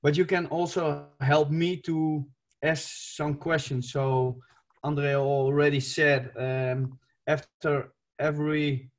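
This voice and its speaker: A man in his thirties with a Dutch accent, a football coach giving an online presentation from an attic room over a laptop mic, halting and searching for English words. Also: fairly high pitch, little pitch movement.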